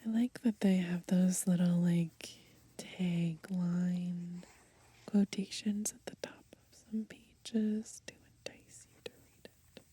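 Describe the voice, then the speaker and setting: Breathy whispering woman, slow and hushed. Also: fairly low pitch, fairly even intonation. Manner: soft, gentle, breathy whispering. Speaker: ASMR creator. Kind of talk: whispered ASMR book browsing and reading. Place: outdoors in the grass.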